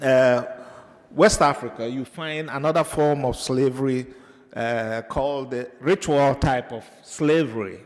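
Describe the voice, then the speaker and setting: A man from Ghana with a Ghanaian accent, giving an unscripted academic lecture to teachers, hesitant with many filler pauses and digressions. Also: mid-range voice. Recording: reverberant lecture hall.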